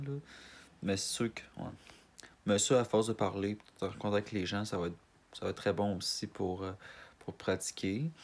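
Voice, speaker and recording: A man in his twenties with a Québécois French accent, introducing himself in a hesitant, rambling way full of fillers and restarts. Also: fairly low pitch. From Montreal, Canada. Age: 20s. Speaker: casting applicant. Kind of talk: self-introduction video.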